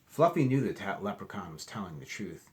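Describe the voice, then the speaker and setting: A man doing an expressive read-aloud for children, chatting to his young listeners in asides and asking them questions directly. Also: low-pitched, fairly quick, slight background noise. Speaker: children's storybook reader, teacher.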